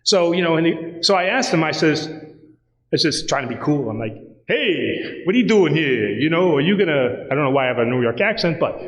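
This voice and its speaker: Animated priest in an echoing church. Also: male voice, on the high side, fairly quick, muffled recording. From United States. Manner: animated, conversational, acts out dialogue. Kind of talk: storytelling sermon. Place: church sanctuary. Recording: roomy church with long reverb.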